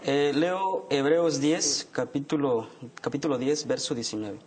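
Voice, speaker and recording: Young Mexican theology student. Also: male voice, a little high, unhurried, a little mellow. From Chiapas, Mexico.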